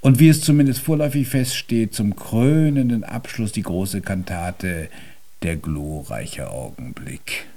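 An actor in a German radio drama playing a stubborn, imperious Viennese composer-pianist, with a formal, deliberate and pompous delivery. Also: male voice, fairly low pitch, gravelly, mellow. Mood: formal, decided.

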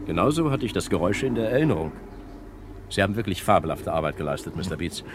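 An actor playing a polite, wealthy kidnapping victim who helps as a witness in an old radio crime drama. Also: male voice, low-pitched, expressive, quick pace, background noise. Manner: polite, reflective. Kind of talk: vintage radio drama acting.

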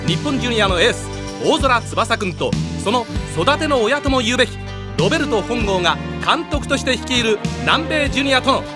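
A man playing an excitable play-by-play soccer announcer in an anime, building up each play dramatically. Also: extremely high pitch, a little fast, over loud music. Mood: eager, building. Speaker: sports commentator. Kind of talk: anime sports commentary.

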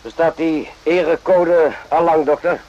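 A man's voice: a little high, steady tone, unhurried, darkish tone, muffled recording, close to an everyday voice. A man playing a blunt, suspicious sergeant in a radio play, needling and sardonic. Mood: sardonic, probing. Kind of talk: radio drama acting. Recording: noisy old radio play recording.

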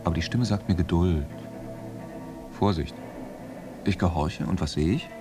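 An actor playing a jealous, tormented soldier in a radio play monologue, theatrical and shifting between murmurs and outbursts. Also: male voice, deep voice, normal speed, over music, lightly acted. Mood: brooding, hushed. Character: jealous, tormented soldier brooding over his unfaithful lover.

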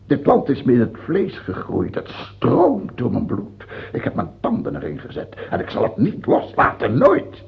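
A man's voice: mid-range voice, huge pitch swings, moderate pace, vocal fry, breathy edge, exaggerated voice. An actor playing a scheming, imperious aging French king in a radio play, theatrical, moving between murmured musing and scornful outbursts. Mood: fervent. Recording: dull, muffled old radio recording.

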